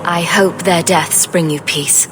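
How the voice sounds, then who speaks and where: A confident sellsword heroine in a fantasy game. Her voice is on the low side, and she speaks directly and with composure, probing for answers. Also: steady tone, unhurried, a bit bright, a little hiss or hum. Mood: composed, direct. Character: self-assured, direct female adventurer for hire. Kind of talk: video game dialogue.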